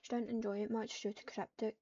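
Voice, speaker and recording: A woman casually narrating a roleplay aloud as she types, in a halting way with repeated phrases. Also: on the high side, flat delivery, dark tone, far from the mic. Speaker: roleplay gamer. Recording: dull lo-fi mic, noisy, keyboard clicks.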